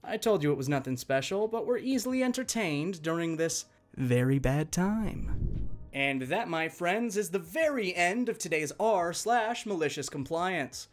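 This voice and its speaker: A man working as a channel host, reading online stories aloud in an expressive, conversational way and speaking directly to listeners. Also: high-pitched, a little slow. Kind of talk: reading online stories aloud, scripted narration with chatty asides.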